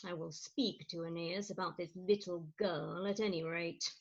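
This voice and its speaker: A woman's voice: fairly low pitch, steady tone, normal speed, slightly rough. A woman playing a fretful, well-bred middle-aged mother in a staged reading of a Victorian farce. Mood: anxious, guilty. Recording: dull lo-fi remote recording.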